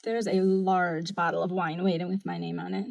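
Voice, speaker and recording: An actress playing a weary, wry co-worker in an audio drama, casual and blunt. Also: female voice, lowish voice, little pitch movement, moderate pace, creaky, slight background noise. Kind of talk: naturalistic audio drama dialogue.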